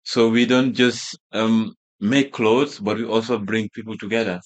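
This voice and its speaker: A Gambian man whose first language is Wolof talks through a casual interview in a fairly low voice. He feels his way along with lots of fillers, repeats and restarts. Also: steady tone, a little slow. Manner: hesitant, many fillers and restarts. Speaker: fashion brand founder, guest.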